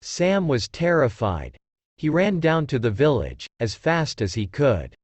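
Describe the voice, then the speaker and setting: A man's voice: medium pitch, very animated, unhurried, a little mellow, slightly rough, dry recording. A man narrating a scripted story for children.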